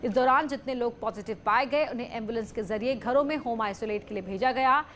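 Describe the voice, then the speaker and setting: A woman's voice: a little high, brisk, fairly bright tone, slight background noise. A woman news presenter reading a scripted bulletin in a formal, emphatic newsreader manner.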